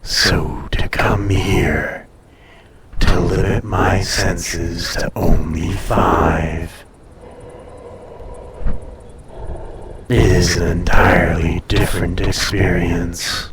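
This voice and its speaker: A man voicing an ancient, haughty, possessive dragon in an intimate audio roleplay, speaking formally and deliberately with a breathy, softly menacing, seductive delivery. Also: deep voice, fairly even intonation, echoey room, distant mic, a loud crowd. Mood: wistful, musing.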